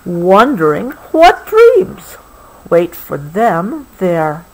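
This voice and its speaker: A woman reads a picture book aloud to a child, gently adding explanations as she goes. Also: on the low side, huge pitch swings, extremely slow, a little hiss or hum, low-quality recording. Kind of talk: read-aloud storybook with explanatory asides.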